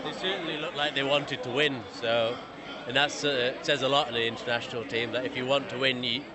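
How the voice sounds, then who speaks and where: A man's voice: medium pitch, steady tone, a little fast. A man with an English accent, a rugby fan chatting enthusiastically and rambling a little. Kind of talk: casual chat. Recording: loud crowd outdoors.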